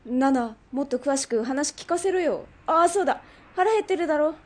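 A woman playing a brash, bossy underworld boss in a radio drama, with rough, masculine, commanding speech. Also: high voice, expressive, moderate pace, darkish tone. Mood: teasing, pushy.

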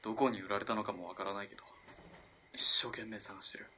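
An actor playing an earnest, lovestruck young student in an early twentieth-century Japanese radio play, halting and moving between hushed and impassioned delivery. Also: male voice, on the low side, steady tone, slight background noise. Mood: subdued, wistful. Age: young adult. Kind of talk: dramatic radio play acting.

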